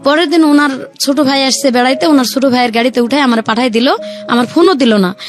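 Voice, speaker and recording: A woman's voice: high voice, fast. A woman with a Bangladeshi accent telling her own story in an interview, in colloquial rural speech, acting out the conversations she recalls. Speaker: guest, returned migrant domestic worker. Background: faint music.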